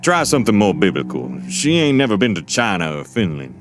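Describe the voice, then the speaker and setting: An actor playing a shrewd, rustic, plain-spoken man in an audio drama, steady in manner. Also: on the low side, fairly quick, over music. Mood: insistent. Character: folksy, shrewd, plain-talking male companion named Silas. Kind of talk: dramatic audio fiction acting.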